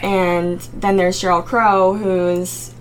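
A young woman with a raspy voice, a singer-songwriter guest chatting casually in an interview with frequent ums. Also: on the low side, little pitch movement, slow, slight background noise, low-quality recording. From Maryland, United States.

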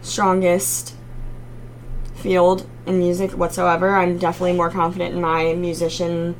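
A young woman with a raspy voice, a singer-songwriter guest chatting casually in an interview with frequent ums. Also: fairly low pitch, monotone, moderate pace, a little hiss or hum, poor audio. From Maryland, United States.

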